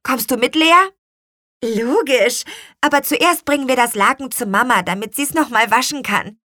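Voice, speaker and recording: A woman's voice: fairly high pitch, normal speed, bright, forceful tone, no room sound, studio quality, voice pushed up. A woman voicing eager, matter-of-fact young children in a children's storybook, in a direct manner. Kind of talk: children's storybook character reading.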